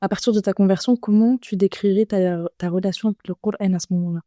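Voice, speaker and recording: Mellow woman podcast interviewer. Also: medium pitch, fairly even intonation, fast talker, no echo. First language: Arabic. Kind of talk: casual conversational interviewing.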